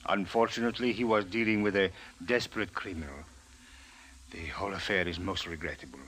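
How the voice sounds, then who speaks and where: A courteous official in an old radio drama. He speaks in a low voice, with formal, measured politeness. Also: fairly bright tone, slightly rough. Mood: grave sympathy. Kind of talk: 1940s radio drama acting.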